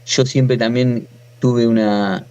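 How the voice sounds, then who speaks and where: A man's voice: medium pitch, steady tone, takes it slowly, dark tone, clean tone, dull audio. A man in his forties with a Buenos Aires accent, telling his own story informally as a guest, often breaking off and rephrasing. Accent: Argentine, from Buenos Aires. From Buenos Aires, Argentina. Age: 40s. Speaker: interview guest, natural farmer.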